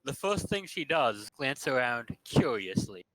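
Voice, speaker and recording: A man's voice: medium pitch, sing-song, normal speed, fairly bright tone, no room sound, low-quality recording. A man reading first-person narration aloud in a casual staged reading, in a playful way. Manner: playful, dropping jokey asides.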